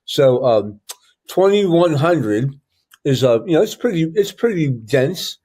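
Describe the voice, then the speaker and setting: A man's voice: on the high side, big pitch swings, slow pace, mellow, breathy edge. A man hosting a casual interview, chatty and loose, thinking aloud with frequent ums. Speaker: host.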